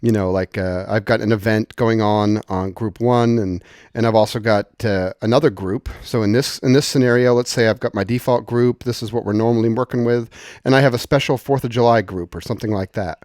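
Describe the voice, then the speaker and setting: A man presenting an informal software tutorial in a conversational, explanatory way, thinking aloud as he goes. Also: fairly low pitch, steady tone, fairly quick, no echo, clean studio sound.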